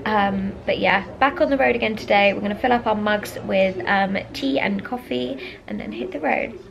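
A woman's voice: mid-range voice, moderate pace, vocal fry, a crowd in the background. A young adult woman, chatting casually and informally to camera as a vlogger. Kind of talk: casual vlog chat to camera.

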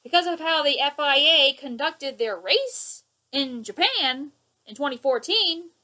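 A woman's voice: high-pitched, animated, a little slow, sharp tone, poor audio. A young woman ranting at her webcam in her living room, indignant and sarcastic, waving her hands as she talks. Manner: indignant, sarcastic, gestures a lot. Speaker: vlogger. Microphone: webcam at close range.

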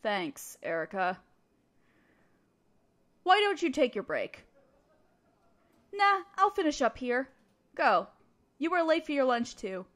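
A woman acting in an audio roleplay as a guarded, jealous, resigned barista who runs a cafe, speaking haltingly and defensively. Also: high voice, big pitch swings, a bit bright, full character voice. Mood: resigned, firm. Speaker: amateur audio roleplay voice performer. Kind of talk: audio roleplay acting, one side of a dialogue.

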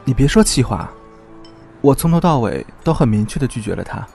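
A man's voice: mid-range voice, very animated, brisk, vocal fry, darkish tone, slightly rough, faint music behind, full character voice. An actor playing a frank, protective young man, a loyal friend, in an audio drama. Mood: earnest, defensive.

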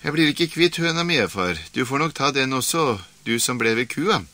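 A man's voice: medium pitch, lively intonation, sharp tone, no room sound, voice pushed up. A man voicing a foolish farm wife in a folk tale who wonders aloud whether she is really herself. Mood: plain. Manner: bewildered, talking to herself.

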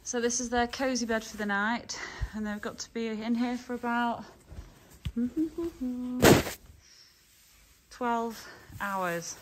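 Casting: woman farmer, thirties, unhurried casual vlog narration, background noise. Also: on the high side. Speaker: sheep farmer, vlogger.

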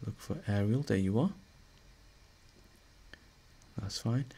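A male teacher narrating a screen-recorded lesson, a little hoarse as he is losing his voice, talking through each step with fillers and restarts. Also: lowish voice, a little fast. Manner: relaxed, thinking aloud with fillers and restarts.

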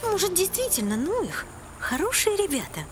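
An actress playing a cool, sly young woman among pirates in an animated film, dryly teasing over music. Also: very high-pitched, moderate pace. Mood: coaxing.

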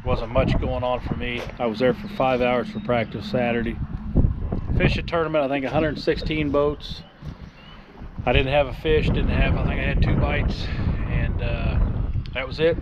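A man's voice: mid-range voice, fairly even intonation, moderate pace, muffled recording. A man, chatting casually and plainly for a fishing vlog, in a folksy way. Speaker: amateur tournament angler and vlogger. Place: outdoors on a gravel lot, then inside a large garage. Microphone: action camera at arm's length. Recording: noisy handheld camera audio, ambient noise.

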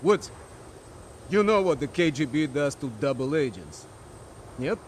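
A man's voice: a little high, sing-song, unhurried, slight background noise. A man playing a wry, fatalistic Soviet helicopter pilot and double agent in a video game, with a Russian accent. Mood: wary, wry.

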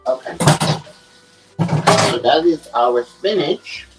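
A young man talks his viewers through a recipe at an unhurried pace, checking in with "okay" and "guys". His voice is mid-pitched and comes from a few feet off the camera. The small kitchen around him rings a little, with faint music and sizzling underneath. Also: animated. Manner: chatty, keeps saying "okay" and "guys". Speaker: cooking vlogger. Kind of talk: casual cooking demonstration. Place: small home kitchen, indoors. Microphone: camera set on the counter, away from him. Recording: echoey kitchen, voice from a distance.

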